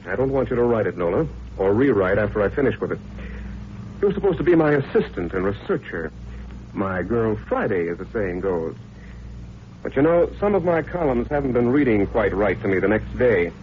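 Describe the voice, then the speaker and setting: An actor playing a cynical, hard-drinking newspaper columnist, wry and needling, in 1940s radio drama style. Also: male voice, on the low side, sing-song, moderate pace. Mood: defiant, accusing. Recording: tinny, hissy old radio recording.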